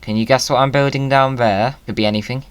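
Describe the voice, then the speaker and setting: A man's voice: mid-range voice, steady tone, fast talker, clear, resonant tone, no echo. A British man hosting a gaming video, chatting to viewers in a playful, whimsical way with exclamations.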